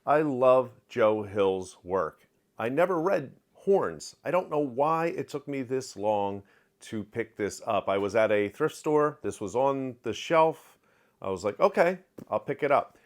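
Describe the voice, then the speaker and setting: A man's voice: medium pitch, measured pace. Middle-aged guy doing a book wrap-up vlog at home, blunt and chatty, right up on the webcam. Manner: candid, opinionated, animated hand gestures. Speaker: book vlogger. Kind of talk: casual reading wrap-up review. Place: home study with shelves of collectibles. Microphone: webcam framing, close to camera.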